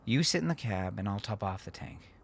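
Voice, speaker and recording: A man's voice: fairly low pitch, expressive, a little fast, vocal fry, husky, full character voice. A concerned woman companion as a man narrator plays her in a storybook drama. She is firm and insistent, with a teasing, reassuring way of talking. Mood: brisk, reassuring.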